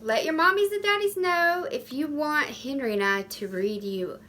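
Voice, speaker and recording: A woman reading a picture book aloud for young children, with simple, expressive phrasing. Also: high-pitched, normal speed, a little hiss or hum. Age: adult. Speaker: storybook reader.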